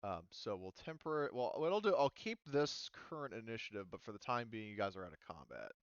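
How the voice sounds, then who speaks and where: A man running a tabletop roleplaying game as game master, talking casually and haltingly as he thinks through the rules aloud. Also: lowish voice, big pitch swings, clean tone, dry recording, muffled recording. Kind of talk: casual tabletop game refereeing over online session.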